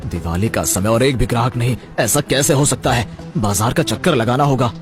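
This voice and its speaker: A man's voice: mid-range voice, a little fast, lightly acted. A man playing a jealous, scheming shopkeeper villain in a Hindi cartoon story, theatrical and plotting. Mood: worried. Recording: background music throughout.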